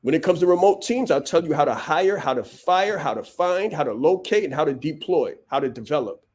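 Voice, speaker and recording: Male coach-host, slightly high voice, hammers points home with blunt repetition. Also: expressive, fairly quick, a little mellow. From Vietnam. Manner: blunt, preachy, punchy repetition. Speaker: podcast host and business coach. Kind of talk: motivational monologue.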